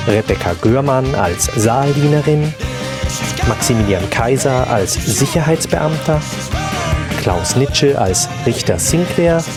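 A male announcer reads scripted credits at a slow pace. His pitch is in the middle range. Plucked guitar music plays loudly behind him. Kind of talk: scripted narration.

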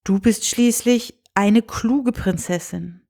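A woman voicing a kindly, reassuring king and father in a storybook, calm, friendly and unhurried. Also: mid-range voice, vocal fry. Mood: thoughtful. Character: kindly, reassuring old-fashioned fairy-tale king and father.

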